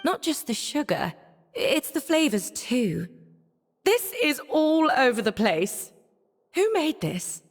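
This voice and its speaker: A woman's voice: sits high, sing-song, takes it slowly, big-room sound, soft background music, exaggerated voice. A woman playing a refined food judge in a video game, giving emphatic verdicts. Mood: critical.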